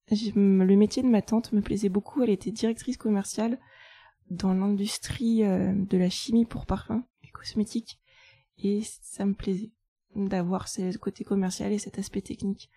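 A woman in her thirties from France, chatting about her path in a relaxed way. Her voice is very dark and mellow, and the recording is dry and close. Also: medium pitch, moderate pace, slightly rough, no room sound. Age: 30s. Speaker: guest, chartered accountant. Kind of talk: casual interview.

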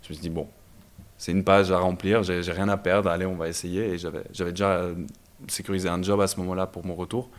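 A young man from Belgium, a startup founder telling his story in a casual interview, relaxed and hesitant with many fillers. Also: low voice, quick pace. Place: startup incubator office.

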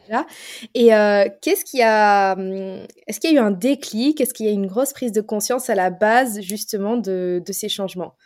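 A woman hosting an interview, asking halting questions and giving short affirmations as she listens. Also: medium pitch, darkish tone. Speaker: interviewer, podcast host.

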